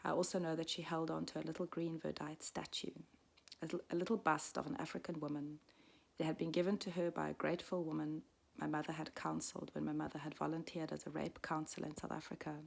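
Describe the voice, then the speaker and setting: A woman in her forties, raised in Switzerland, reading a personal story aloud in a soft, reflective way that often drops to a half-whisper. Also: low-pitched, little pitch movement, fast talker, gravelly. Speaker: midwife and storyteller.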